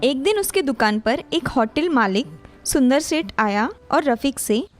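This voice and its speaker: High-voiced woman narrating a moral tale. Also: animated, unhurried, soft background music. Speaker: storyteller narrator. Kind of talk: scripted moral-story narration for animated tales.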